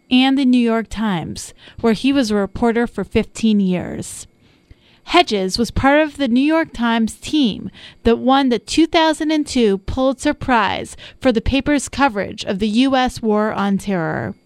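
A woman hosting a program, reading a scripted introduction to a recorded lecture in a clear, even way. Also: on the high side, dry recording.

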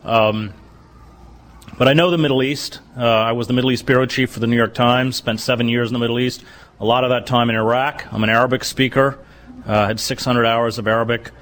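A middle-aged man lecturing in a measured, halting way, dotting his sentences with "uh" as he searches for the next phrase. His voice sits at an ordinary mid pitch for a man. His delivery is serious and unhurried. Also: fairly even intonation, a bit bright. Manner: deliberate, halting with many "uh"s. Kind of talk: bookstore lecture.

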